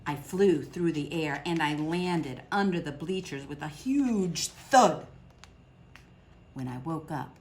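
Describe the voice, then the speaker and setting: A woman reading a picture book aloud to children at storytime, expressive, with dramatic pauses. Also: sits low, vocal fry, slight background noise.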